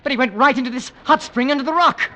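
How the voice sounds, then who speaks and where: An actor playing a bold, excitable hunter in a 1950s radio drama, with a high voice for a man. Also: quick pace, slight background noise. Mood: excited, urgent. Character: bold, excitable man hunting a killer with rifle. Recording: old radio recording, long cave-like reverb.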